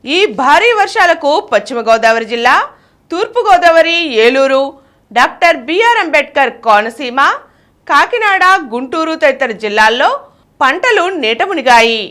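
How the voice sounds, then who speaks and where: A woman's voice: sits high, sing-song, normal speed, fairly bright tone. A woman reading a scripted news bulletin as the presenter. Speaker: news reader.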